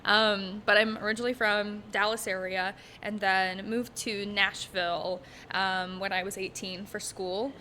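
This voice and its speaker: A woman's voice: mid-range voice, flat delivery, unhurried, few pauses, bright, forceful tone, slight background noise. A woman in her twenties from Texas, a music teacher being interviewed, talking casually with frequent ums and light laughs. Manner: chatty, laughs often, many ums. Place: bright living room set. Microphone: stand mic in front.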